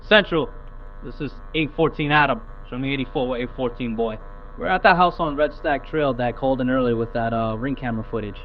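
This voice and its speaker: A man's voice: medium pitch, a little fast, soft background music. A man playing a procedural patrol officer reporting a scene to dispatch over police radio, clipped and matter-of-fact. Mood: official, matter-of-fact. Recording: muffled police radio, humming static noise.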